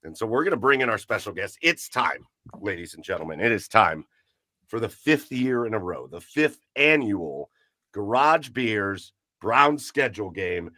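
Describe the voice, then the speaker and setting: A man's voice: lowish voice, big pitch swings, moderate pace, pushed and bright, no echo, studio quality. A man hosting a casual live podcast, chatting and reading comments in an upbeat, announcer-like way. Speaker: podcast host. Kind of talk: casual livestream chat.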